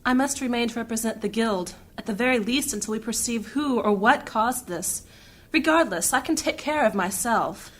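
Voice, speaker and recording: A woman performs a poised noblewoman from a fantasy audio drama. Her voice sits fairly high, and she speaks formally and articulately, with a strong-willed, insistent edge. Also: moderate pace, close to an everyday voice. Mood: insistent, determined.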